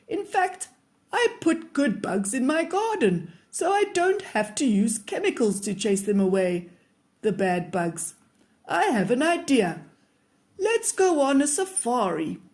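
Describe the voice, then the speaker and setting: A woman voicing a patient, kindly grandfather in a children's storybook, gently explaining things. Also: high-pitched, exaggerated voice. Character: patient, kindly grandfather who loves garden bugs.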